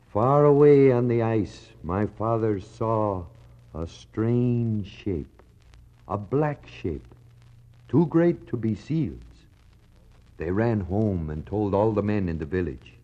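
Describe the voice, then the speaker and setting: A muffled 1960s radio drama recording carries an Inuit man telling an old tale. The voice is deep and unhurried, with swooping intonation. He speaks simply and solemnly, with a sense of wonder. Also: warm tone, exaggerated voice. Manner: slow, simple declarative storytelling with dramatic pauses. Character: Inuit man recounting his fathers' discovery, simple, wondering.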